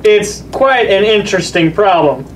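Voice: medium pitch, expressive, moderate pace, slight background noise, close to an everyday voice, poor audio. A performer voicing a mild, good-natured dad puppet in a children's show, hesitant and folksy. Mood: polite, pleased.

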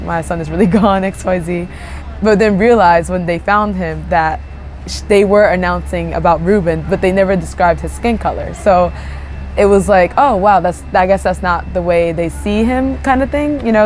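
A woman's voice: fairly low pitch, darkish tone, poor audio. A young woman raised in Japan with Japanese as her first language, sharing personal stories in a thoughtful, casual way, with many "you know" fillers and restarts. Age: young adult. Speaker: interviewee. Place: outdoors among large tropical plants.

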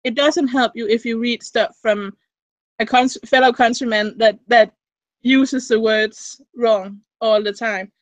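Young danish woman, higher voice, rambly, on a webcam call in a small room. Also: fairly even intonation, unhurried, no room sound. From Denmark. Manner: rambling, frequent restarts and fillers. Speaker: interviewee, fan fiction writer. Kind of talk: casual video call interview. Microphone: webcam mic on a video call.

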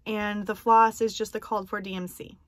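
A woman vlogger chatting casually about her stitching projects, soft and unhurried, with many ums and pauses and light self-deprecating humor. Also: mid-range voice, level tone, no echo, clean studio sound. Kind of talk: casual show-and-tell chat.

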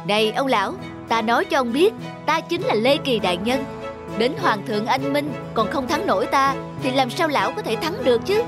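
An actor playing a proud, hot-tempered middle-aged court official in an animated folk tale, boastful and scornful. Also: male voice, very high voice, normal speed. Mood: haughty. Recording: music under the dialogue.

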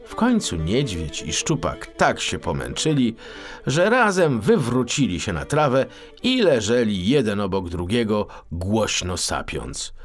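Expressive, low-voiced male storyteller. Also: unhurried, soft background music. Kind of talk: expressive scripted children's audiobook narration.

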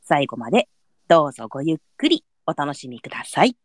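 A woman's voice: sits low, big pitch swings, brisk, no echo, clean studio sound. A woman hosting a livestream, making polite announcements in courteous, formal phrasing. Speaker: host and theatre announcer.

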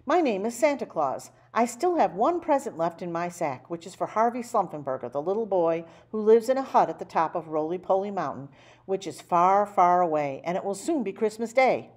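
A woman voicing a polite, earnest old man in a children's storybook, courteous and clear about his errand. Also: medium pitch, very animated, moderate pace, a little mellow. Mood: polite, pleading. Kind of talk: storybook character voice.

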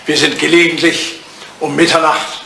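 High-voiced fussy old lord. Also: male voice, little pitch movement, normal speed, creaky, pushed and bright. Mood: wistful, boastful. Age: older. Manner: fussy, hesitant, digressive. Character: fussy, ageing English lord, wry and vain. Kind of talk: retro radio comedy-drama acting. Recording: roomy, noisy vintage radio play recording.

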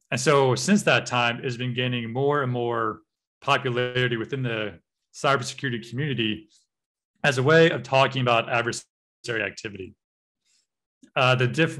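American male trainer, thirties, mid-pitched voice, easygoing lecture delivery with plenty of fillers, talking over slides on a video call. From United States. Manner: relaxed, frequent uh and um fillers. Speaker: cybersecurity trainer.

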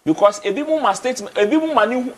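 A young man with a Ghanaian accent and a high, light voice talks animatedly from a TV studio chair. He slides between Twi and English and doubles up words as he presses his points, with a lapel mic catching him up close. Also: animated, rapid-fire. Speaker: studio guest. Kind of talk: casual TV studio discussion. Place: TV studio, indoors.

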